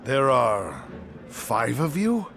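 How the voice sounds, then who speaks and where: A man playing a gruff, grave dwarf war leader in a fantasy game, with a gravelly voice. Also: medium pitch, wildly swooping pitch, extremely slow, a bit bright, heavily acted. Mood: wry, resolute. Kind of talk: dramatic fantasy game acting. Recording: music behind the voice.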